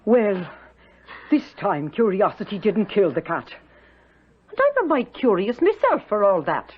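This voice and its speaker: Soothing, folksy housemaid: very varied pitch, motherly, dull vintage radio recording. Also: very animated, measured pace, slight background noise. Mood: soothing, curious. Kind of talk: old-time radio drama acting.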